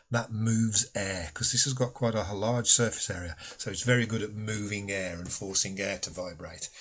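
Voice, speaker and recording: A man's voice: lowish voice, slightly rough. A man speaking as a physics teacher, explaining a school lesson patiently and informally, step by step.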